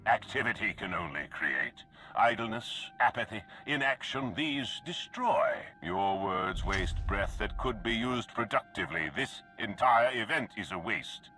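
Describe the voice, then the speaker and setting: A man's voice: on the low side, animated, unhurried, pushed and bright, soft background music. A man voicing a stern, zealous cult spokesman in a video game, with a declamatory manner. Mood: solemn zeal.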